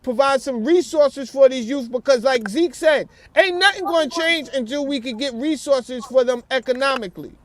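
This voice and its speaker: A man's voice: very high-pitched, moderate pace, few pauses. A man in a casual, earnest phone conversation, using slang and restating his points. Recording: noisy phone call, background ambience.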